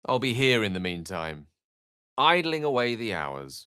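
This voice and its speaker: A learned, self-possessed wizard from a fantasy video game. His voice sits a little low in a dry, studio-clean space. He shapes ornate phrases with care, theatrical flair and dry wit. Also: very animated, measured pace, pushed and bright, smooth, dry recording, full character voice. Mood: measured, wry. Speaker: voice actor in a fantasy role-playing game. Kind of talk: theatrical game dialogue in short separate lines.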